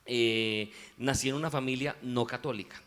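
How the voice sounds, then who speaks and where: A man with a Colombian paisa accent, a musician guest giving a reflective, unhurried faith testimony in an interview. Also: medium pitch, fairly even intonation, fairly bright tone.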